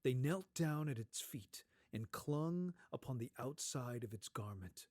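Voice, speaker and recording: A man's voice: medium pitch, expressive, measured pace, darkish tone, no room sound, clean studio sound. A man narrating a classic story in a dramatic, scripted storytelling style. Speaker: narrator, actor reading a story.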